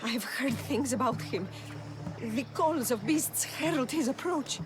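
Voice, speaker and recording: A woman's voice: sits high, expressive, unhurried, rough recording. An actress playing an anguished mother pleading for her captured son in a video game cutscene. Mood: desperate pleading. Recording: music behind.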